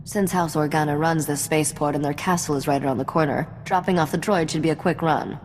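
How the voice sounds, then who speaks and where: An actress plays a cool, worldly underworld contact in a video game. Her low voice is knowing, cynical and matter-of-fact, heard with long reverb over faint noise. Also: fairly even intonation, fast, darkish tone, lightly acted. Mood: matter-of-fact briefing. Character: cool, worldly, shrewd smuggler's contact, a woman.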